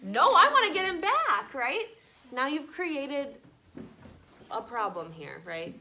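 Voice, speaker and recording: Woman, elementary teacher, lively swooping delivery, high-pitched, conversational questioning, children's read-aloud. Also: slow, a little hiss or hum. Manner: animated, conversational, checking in with questions. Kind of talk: children's story read-aloud with class discussion. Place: classroom with children.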